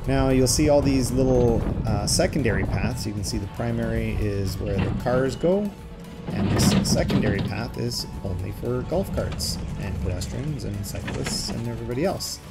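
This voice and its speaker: A man's voice: medium pitch, normal speed, creaky. Chatty Canadian man touring by golf cart. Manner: relaxed, chatty, upbeat, loose with fillers. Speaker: vlogger giving a tour. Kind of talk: casual narrated driving tour. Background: loud music.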